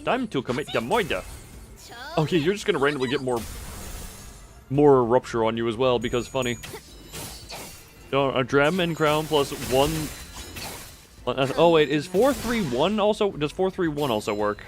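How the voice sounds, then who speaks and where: A man live-streams a game in a medium-pitched voice. He chats casually and playfully, rambling and muttering asides to himself. Game music plays behind his commentary. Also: animated, moderate pace, pauses often. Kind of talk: casual gameplay commentary to chat.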